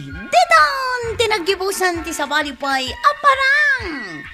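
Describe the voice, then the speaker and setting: A woman's voice: very high-pitched, huge pitch swings, slow, loud music behind the voice. A woman with an Ilocano accent reading a scripted closing announcement for a radio drama.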